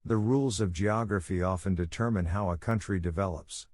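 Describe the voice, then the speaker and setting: A man reading scripted practice passages aloud in a measured, formal manner. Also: sits low, noisy background. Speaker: narrator of exam practice passages. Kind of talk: scripted read-aloud narration.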